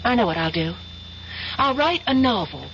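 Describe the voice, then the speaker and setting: A woman playing a brisk, self-assured young engineering student in a vintage radio mystery. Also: a little high, lively intonation, fairly bright tone. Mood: excited, inventive. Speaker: radio drama actor. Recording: muffled, hissy old radio recording.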